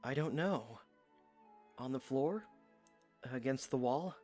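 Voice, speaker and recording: Woman voicing a bashful young man, swinging pitch. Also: animated, slow pace, mellow, faint music behind, exaggerated voice. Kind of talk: audiodrama acting.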